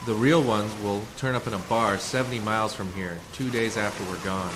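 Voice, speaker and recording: A man playing a calm, assured undercover rescuer in a sci-fi radio play, speaking in a measured, explanatory way. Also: lowish voice, natural voice. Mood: calm, explaining. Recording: noisy community radio drama recording.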